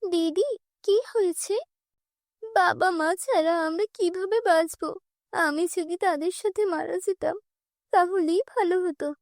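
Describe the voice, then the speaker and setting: A woman's voice: very high-pitched, unhurried, no room sound, clean studio sound. A woman voicing a small, innocent, plaintive orphaned younger sister in a childlike manner. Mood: grieving.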